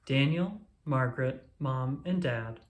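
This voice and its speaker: Read-aloud narrator for kids' picture books, highly varied intonation, echoey room sound. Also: lively intonation, slow. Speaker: storyteller.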